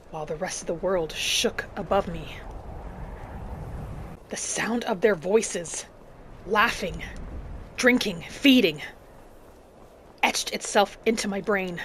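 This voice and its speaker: A woman hosting a gaming channel, narrating her roleplay in character in a measured, earnest storytelling way. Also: mid-range voice, slight background noise. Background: background music.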